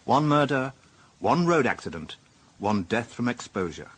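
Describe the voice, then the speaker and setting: Husky, lilting British inspector narrating. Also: male voice, mid-range voice, big pitch swings, unhurried, fairly bright tone, heavily acted. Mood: wry, reflective. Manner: dry, wry, reflective. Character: wry male police inspector narrating his own case. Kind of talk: scripted radio drama narration.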